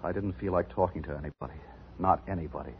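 An actor playing a bitter, resentful young husband in his twenties in 1950s radio drama, brusque and husky-voiced. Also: deep voice, fast talker, a little hiss or hum. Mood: sullen, evasive. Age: 20s. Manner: brusque, swinging from sullen to heated outbursts. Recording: dull, lo-fi 1950s radio recording.

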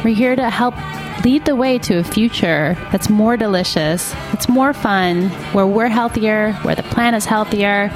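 A woman giving an earnest, upbeat donation appeal for a nonprofit radio station. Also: mid-range voice. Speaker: station fundraising announcer. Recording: music bed under voice.

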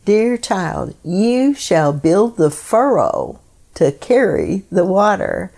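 A woman hosting a devotional program, reading scripted meditations in a solemn, measured way. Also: medium pitch, sing-song, creaky, darkish tone, husky.

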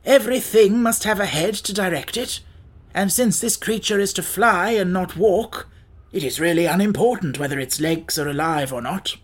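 Reader as a reasoning, confident scarecrow, pitched up. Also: moderate pace, close to an everyday voice. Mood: decisive. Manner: reasoned, explanatory.

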